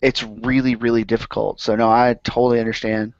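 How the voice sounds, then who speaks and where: A man's voice: medium pitch, little pitch movement, a little fast, no room sound. Easygoing male podcast host, dull lo-fi sound. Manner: easygoing, hesitant with fillers. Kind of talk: casual chat. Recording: dry, dull lo-fi recording.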